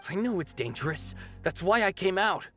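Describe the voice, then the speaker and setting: A young villager volunteering to fight in a video game. The voice is somewhat high and youthful, earnest and determined. Soft music plays behind it. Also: male voice, animated, moderate pace. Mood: nervous courage. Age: young adult.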